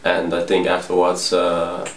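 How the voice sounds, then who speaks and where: A man, answering interview questions with many pauses. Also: low-pitched, completely flat delivery. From Tilburg, Netherlands. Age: 20s. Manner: hesitant, full of pauses. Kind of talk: casual street interview answers. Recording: echoey, noisy street spot.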